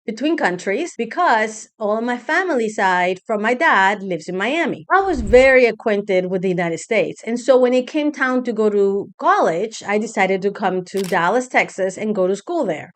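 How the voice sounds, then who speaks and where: A woman's voice: fairly high pitch, lively intonation, normal speed, few pauses. A middle-aged woman from Guatemala, a business coach, telling a personal story to camera in a candid, conversational way with self-deprecating asides.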